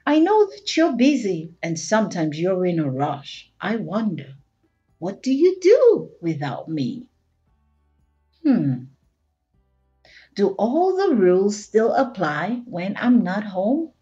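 A woman's voice: mid-range voice, very animated, takes it slowly, mellow, muffled recording, faint music behind. A woman voicing a curious, suspicious young child from a picture book, in a dramatic, wondering manner. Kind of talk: picture-book character read aloud.

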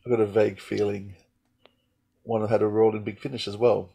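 A middle-aged man, slightly husky, chatting casually and haltingly as a podcast co-host on a video call from home. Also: on the low side, flat delivery, dark tone. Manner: halting, thinking aloud. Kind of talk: casual fan chat. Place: small room at home, indoors.

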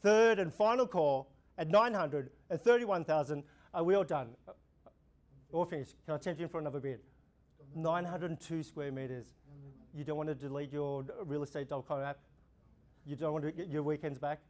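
A young man with an Australian accent, an auctioneer running a practice auction, calling bids in a steady repeating rhythm. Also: on the high side, moderate pace. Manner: rhythmic, repetitive bid calling, gestures while talking. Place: indoor room before a projection screen.

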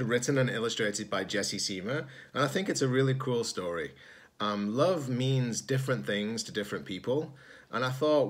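A man, a teacher-librarian reading a children's storybook aloud. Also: mid-range voice. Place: small indoor room at home.

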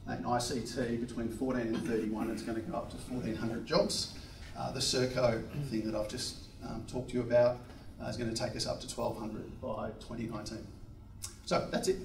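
A man presenting slides in a seminar room with a handheld mic, brisk and upbeat. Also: medium pitch, little pitch movement, gravelly, roomy sound, distant mic, slight background noise, low-quality recording.

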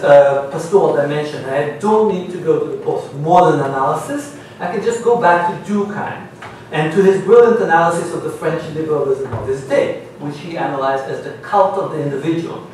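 A middle-aged man with an Israeli accent, giving a measured academic talk as a panelist at a university seminar table. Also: fairly high pitch, expressive, darkish tone, a little hiss or hum. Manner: measured, lecturing, reads quotations aloud. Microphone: gooseneck table mic. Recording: very echoey room, mic at a distance.

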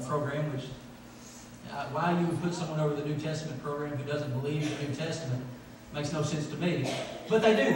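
Guy preaching, high voice, hammers his phrases, really echoey church, sounds far from the mic. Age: middle-aged. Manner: emphatic, repeating phrases for stress. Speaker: pastor. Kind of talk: sermon. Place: large church sanctuary, from the pulpit. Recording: echoey church hall, distant, faint noise.

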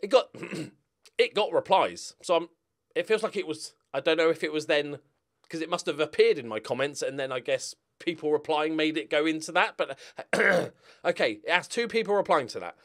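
A man in his forties chatting casually and humorously to viewers as a channel host, animated and jokey. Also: a little high, moderate pace. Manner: animated, jokey, sweary, chuckling. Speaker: YouTube gaming channel host. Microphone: desk condenser microphone up close.

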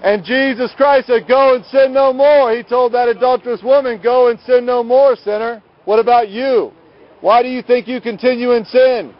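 A man preaching on the street in a loud, scolding, combative manner, confronting people outdoors. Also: very high voice, steady tone, normal speed. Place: outdoors at night on a city sidewalk beside a road. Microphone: handheld camera. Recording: muffled lo-fi phone camera audio.

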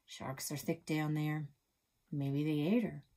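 A woman voicing a boastful boy treasure hunter with a quick, offhand delivery. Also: low voice, little pitch movement, mellow. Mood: cocky.

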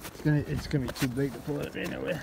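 A man's voice: medium pitch, fairly even intonation, racing, gravelly, noisy background. A man in his forties filming himself outdoors on a frozen lake with a phone, chatting casually and cheerfully to his viewers. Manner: upbeat, chatty, talks to viewers as guys. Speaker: magnet fishing vlogger. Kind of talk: casual vlog chat. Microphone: phone camera held by the speaker.

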